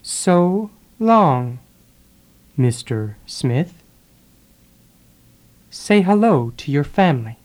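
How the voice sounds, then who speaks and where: A speaker drilling English phrases for learners, saying each sentence and then repeating it one word at a time with pauses. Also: mid-range voice, very animated, a little mellow. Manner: deliberate, pausing after each word. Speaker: English teacher.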